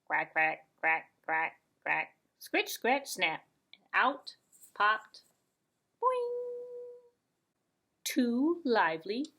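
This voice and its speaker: A woman narrating a children's storybook puppet show in an expressive, deliberate way with playful sound words. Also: medium pitch, breathy edge.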